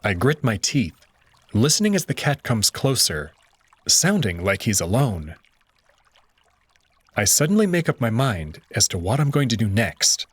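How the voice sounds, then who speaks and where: Man, first-person story narration, husky, lively pitch, dry close mic. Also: no echo. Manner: measured, tense storytelling. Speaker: visual novel narrator.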